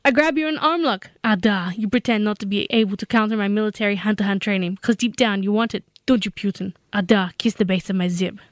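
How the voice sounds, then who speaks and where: Woman acting bullying Russian female soldier, Russian accent, lustful, melodramatic, comedy sketch, quick delivery, dry close sound. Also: mid-range voice, no room sound, natural voice. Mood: bossy, lustful. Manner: commanding, melodramatic. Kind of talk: comic role-play skit.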